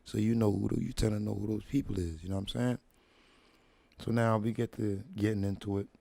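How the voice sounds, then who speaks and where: A man with a very low, dark, mellow voice spins a long story at an easy pace, full of street slang and asides to his listeners. He leans into a deliberate late-night radio delivery. The sound is close and dry, with no room around it. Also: fairly even intonation, creaky, no room sound. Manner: laid-back slangy storytelling, "dig me" asides. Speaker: show host. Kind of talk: casual storytelling monologue. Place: at home, relaxing with feet up.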